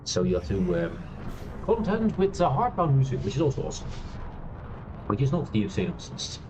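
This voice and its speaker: A man streaming a space game, chatting loosely with many fillers and restarts, laughing now and then. He has a loud voice. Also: medium pitch, huge pitch swings, a little fast, noisy background. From Europe. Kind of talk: casual gameplay commentary. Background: quiet game music.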